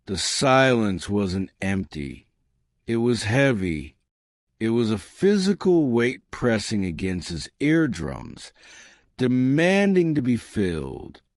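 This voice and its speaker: A man narrating a scripted dramatic story in a solemn, deliberate manner. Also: lowish voice, no echo, clean studio sound.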